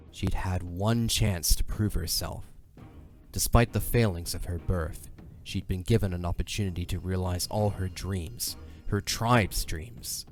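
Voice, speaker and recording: A man narrating a scripted fantasy story in a dramatic audio drama style. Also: low-pitched.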